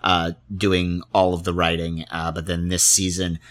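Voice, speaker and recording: A man hosting a relaxed interview chat, agreeable and a little halting as he talks. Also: low voice. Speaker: podcast host and interviewer.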